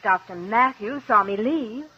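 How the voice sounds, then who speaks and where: A woman's voice: fairly high pitch, unhurried, slightly rough. A woman playing a defensive, earnest office employee on the witness stand in a 1950s radio drama, clipped and emphatic. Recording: muffled old radio recording.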